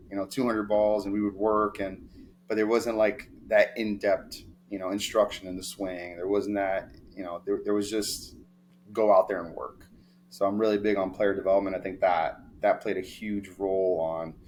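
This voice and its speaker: A young man with a deep, fairly flat voice tells stories in a loose, rambling way, full of fillers and restarts. He sounds like a sports agent chatting as an interview guest. A faint hiss sits under the recording. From New York, of Dominican family. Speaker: baseball player agent, guest. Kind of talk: casual interview storytelling.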